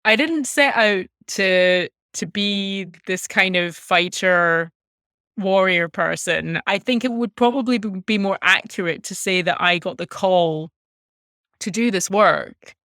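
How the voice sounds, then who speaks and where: Female interview guest, thirties, Scottish accent, earnest and articulate storyteller, crisp tone, close dry sound. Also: medium pitch, takes it slowly, a bit bright, no echo. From Glasgow, Scotland. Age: 30s. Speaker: interview guest, music journalist. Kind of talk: reflective personal storytelling in an interview.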